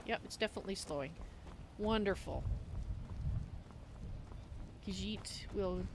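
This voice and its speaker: A woman voicing a warm-hearted Khajiit cat-woman adventurer in a video game roleplay, speaking of herself in the third person. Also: medium pitch, measured pace, background noise, lightly acted. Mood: cheerful. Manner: refers to self in third person. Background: game rain ambience.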